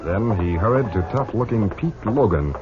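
A man's voice: lowish voice, expressive, normal speed. A man narrating a western story in a formal, dramatic 1950s radio style. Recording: dull old radio broadcast, music behind.

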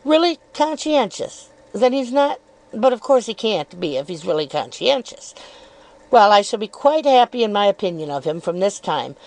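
A woman's voice: medium pitch, very animated, moderate pace, a little mellow, rough recording. A woman reading an audiobook, voicing a sly young woman who hints and needles with arch, probing questions. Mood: feigned delight.

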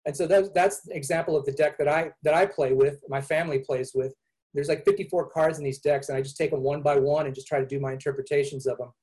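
Higher-pitched man, rambling artist guest on a call. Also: steady tone, fast talker, a little mellow, rough recording. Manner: rambling, with restarts and "like". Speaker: illustrator guest. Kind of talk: panel discussion on a call.

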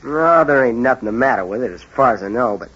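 A man's voice: mid-range voice, animated, fast talker, fairly bright tone, breathy edge. An actor playing a cocky, evasive seventeen-year-old boy in an old-time radio drama, with a slangy, offhand, defiant delivery. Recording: dull old radio broadcast recording.

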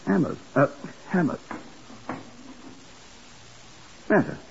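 Brisk self-important manager type, voice jumps all over, muffled old radio drama. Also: male voice, on the high side, expressive, gravelly, slight background noise. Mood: curious, fussy. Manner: clipped, fussy, questioning. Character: fussy, excitable British gentleman building manager, brisk and self-important. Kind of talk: 1950s radio drama acting. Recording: dull vintage 1950s radio recording.

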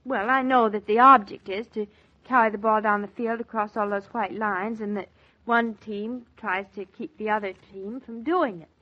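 A woman's voice: medium pitch, steady tone, moderate pace, natural voice. An actress playing a girlish, playful young newlywed wife in a 1940s American radio drama, chattering naively and animatedly. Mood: naive, chatty. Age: young adult. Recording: muffled 1940s radio recording.

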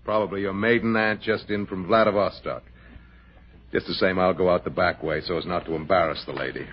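An actor playing a tough, sardonic American man in a 1960 radio crime drama, with a husky voice and a terse, wry delivery. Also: low voice, fairly even intonation, fairly quick, a little hiss or hum. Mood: sardonic, menacing. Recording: dull vintage radio recording.

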